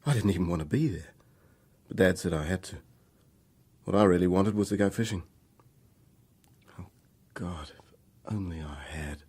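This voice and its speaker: A man's voice: deep voice, normal speed, pauses often, darkish tone, breathy edge. An actor playing a troubled young man making a hesitant, candid confession in a radio drama. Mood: burdened, rueful.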